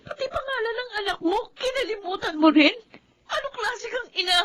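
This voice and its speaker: A woman's voice: very high voice, expressive, fairly quick, fairly bright tone. A woman playing a bitter, surly young housemaid and abandoned daughter in a Filipino melodrama, curt and accusing. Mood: tearful, accusing. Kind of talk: Filipino radio-style melodrama acting.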